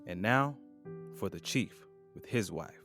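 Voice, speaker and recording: A man narrating an audio drama in a measured, solemn way. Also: on the low side, expressive, a bit bright, faint music behind.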